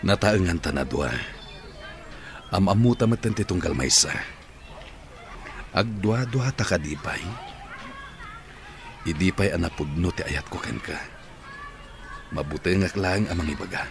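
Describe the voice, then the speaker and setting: A man's voice: sits low, measured pace, pauses often, background noise. A middle-aged man in a radio drama playing an earnest, persuasive man who is courting a woman.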